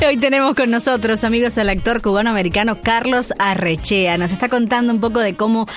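A woman's voice: fairly high pitch, fairly quick, faint music behind. A woman hosting a radio interview, asking polite, long and wordy questions. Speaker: radio host.

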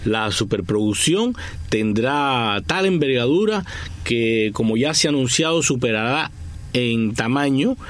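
A man co-hosting an online chat show, his voice swinging up and down as he talks. He tells the news with a teasing, joking edge and likes a wisecrack. His pitch sits in the middle for a man. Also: measured pace. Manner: joking, teasing, lively storytelling. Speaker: co-host. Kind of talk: casual chat on entertainment news.

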